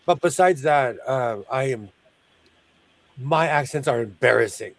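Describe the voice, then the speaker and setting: A man's voice: mid-range voice, unhurried. A man hosting a sewing show, chatting casually and jokily while he works, often trailing off mid-sentence.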